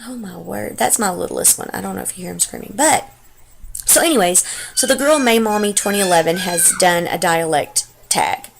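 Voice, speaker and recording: A young mother with a heavy Texas drawl talks off the top of her head, full of fillers and restarts. Her voice has a gravelly fry. It is picked up by a computer webcam at home with faint background hiss. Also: mid-range voice, moderate pace. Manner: chatty and rambling, many fillers. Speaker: stay-at-home mom vlogger. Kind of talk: casual unscripted vlog chat. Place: home, partly the living room. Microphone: computer webcam mic.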